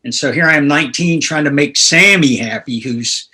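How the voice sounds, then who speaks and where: A man's voice: fairly high pitch, moderate pace, sharp tone, slight background noise. A man in his sixties from North Carolina, a guest telling rambling anecdotes in a casual interview. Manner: rambling, anecdotal, laughs through stories. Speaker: guest guitarist.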